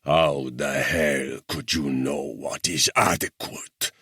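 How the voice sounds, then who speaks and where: A man's voice: fairly low pitch, sing-song, a little slow, husky, no echo, studio quality, voice pushed up, full character voice. Man putting on a growling guerrilla chief. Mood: raging. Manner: growling, thundering, with Spanish words. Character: savage middle-aged male guerrilla leader, menacing.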